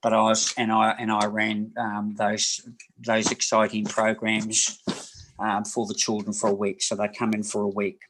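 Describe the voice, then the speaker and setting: A man in his sixties from New Zealand, a massage therapist telling his life story in an interview, rambling and halting with many fillers and restarts. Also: on the low side, monotone, a bit bright, slight background noise, rough recording. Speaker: guest, massage therapist and personal trainer. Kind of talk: casual interview talk.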